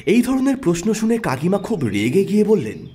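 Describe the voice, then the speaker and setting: A man narrating an audio story in an expressive storytelling manner. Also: sits high, normal speed, breathy edge. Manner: expressive, rising and falling storytelling.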